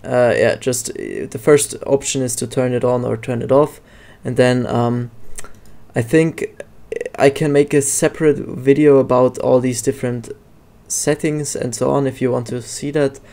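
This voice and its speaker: A man narrating a casual software tutorial in a hesitant, halting way. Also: medium pitch, creaky. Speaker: tutorial host.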